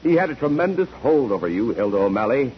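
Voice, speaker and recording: An American actor playing a sharp, methodical courtroom investigator in a 1940s radio drama, precise and probing. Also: male voice, mid-range voice, sing-song, fast, close to an everyday voice. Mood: accusing. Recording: dull, noisy 1949 radio recording.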